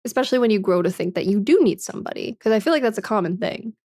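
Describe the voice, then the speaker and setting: A young woman streamer talks things over with her viewers in a loose, frank way. She swears freely, laughs often, and leans on "like" and "man". Her voice sits at an ordinary pitch and sounds dry, with no room echo. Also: animated, rapid-fire, mellow. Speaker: livestreamer. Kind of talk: casual chat, reading and answering viewer comments.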